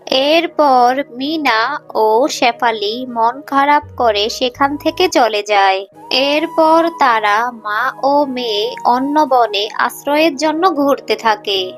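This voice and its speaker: A woman's voice: high voice, takes it slowly. A woman narrating a scripted children's story as its storyteller, with music behind her.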